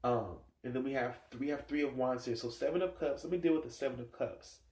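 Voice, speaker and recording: A man's voice: mid-range voice, a little fast, a little mellow. A man with a stuffed-up nose giving a casual tarot reading, musing aloud and rambling with many fillers. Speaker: tarot reader. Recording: echoey room sound.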